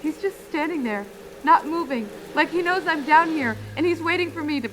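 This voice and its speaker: A woman's voice: high-pitched, animated, normal speed, background noise. A woman playing a frightened, urgent radio phone-in caller hiding from an intruder in her home. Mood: terror. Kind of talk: radio horror drama.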